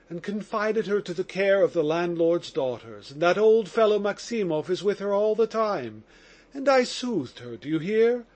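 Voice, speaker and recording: A kindly, excitable old police captain, read by a man, coaxing a prisoner like a father would. The storybook voice sits fairly high and moves with lively inflection. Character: good-natured, fatherly older police captain. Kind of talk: audiobook character reading.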